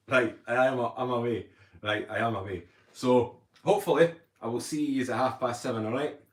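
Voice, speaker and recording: A man's voice: medium pitch, normal speed. A man hosting a casual livestream, rambling chattily and reading viewer comments aloud. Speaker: livestream host. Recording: roomy echo.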